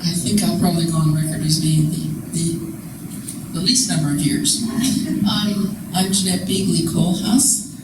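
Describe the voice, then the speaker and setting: A woman's voice: lowish voice, steady tone, unhurried. An older woman from the Netherlands, a former missionary, introducing herself in a wry, laughing way. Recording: echoey room from far off, loud noise.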